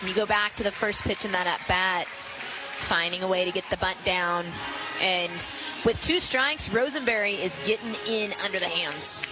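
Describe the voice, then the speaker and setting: A woman giving live softball analysis as a radio color commentator, explaining plays in an analytical, coach-like way. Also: mid-range voice, moderate pace, over music, low-quality recording.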